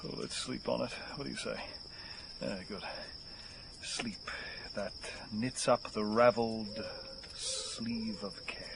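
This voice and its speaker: An actor playing a weary, desperate man on the run in an audio horror drama, halting and theatrical, musing with sardonic wit. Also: fairly low pitch, fairly even intonation, gravelly, noisy background. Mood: drowsy, muttering. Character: weary desperate male fugitive, rambling, wry, unravelling.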